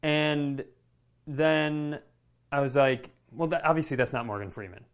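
Man, slightly high voice, podcast co-host, casual storyteller, animated, self-deprecating, sweary. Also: a little slow, smooth. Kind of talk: casual anecdotal chat.

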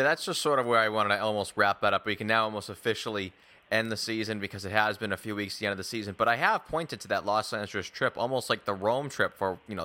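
A man's voice: on the low side, pushed and bright. A man hosting a conversational sports talk show, interviewing in a wordy, rambling way. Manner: wordy, rambling lead-ins to questions.

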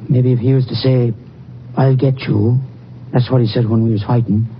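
An actor playing an anxious male crime victim in a 1950s western radio drama, speaking in a halting, earnest way. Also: medium pitch, fairly even intonation, close to an everyday voice. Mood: unsure. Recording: muffled, faintly noisy vintage radio recording.

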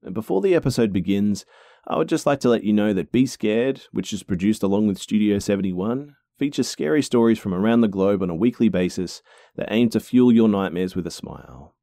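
An Australian man opens his scary-story podcast with a friendly, easygoing greeting. His voice is low and mellow, recorded dry and close. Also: lively intonation, fairly quick, dry recording. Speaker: podcast host. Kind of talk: casual show intro.

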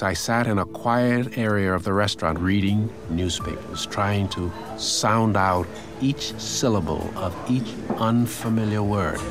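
Deep-voiced man reading a memoir. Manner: calm, storytelling. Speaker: memoir narrator. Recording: narration with music behind. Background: music.

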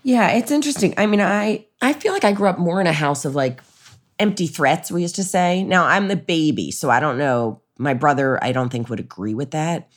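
A middle-aged American woman co-hosting a podcast, telling casual anecdotes in an animated way and often acting out quotes. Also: low-pitched, a little fast. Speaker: podcast co-host. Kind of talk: casual conversational chat, anecdotes.

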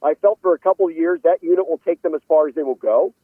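A man with a slightly high voice analyzes football in a conversational way. He is opinionated but measured, careful to qualify each point. The sound is thin and phone-like, dry, as on a radio call-in. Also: no echo. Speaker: sports analyst interviewed as a guest.

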